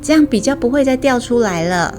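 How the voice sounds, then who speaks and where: A woman's voice: on the high side, lively intonation, brisk, over music, pitched above the performer's own voice, close to an everyday voice. A woman voicing a good-natured man in his early thirties with a Taiwanese accent, casual and helpful, in an audio story. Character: chatty, good-natured thirty-two-year-old single man.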